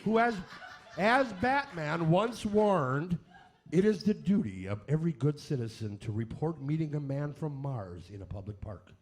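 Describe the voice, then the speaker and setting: High-pitched, animated comic male quiz host. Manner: irreverent, jokey, drawn-out question reading. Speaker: quiz host of a comedy radio variety show. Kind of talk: comic game show hosting with joke asides.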